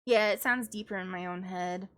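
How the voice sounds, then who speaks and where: A woman's voice: mid-range voice, normal speed. A young woman, a classical singer, reacts to music on a live stream in a casual, thinking-aloud way, opinionated and analytical, slightly nasal at times.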